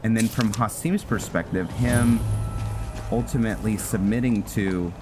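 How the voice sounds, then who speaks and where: A man giving casual roleplay game commentary, halting as he thinks aloud. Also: on the low side. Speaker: gameplay host and roleplayer. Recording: loud music behind the voice.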